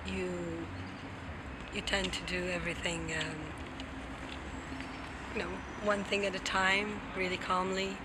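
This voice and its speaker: A person chatting casually and hesitantly outdoors in town, searching loosely for words. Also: medium pitch. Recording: very loud urban traffic noise.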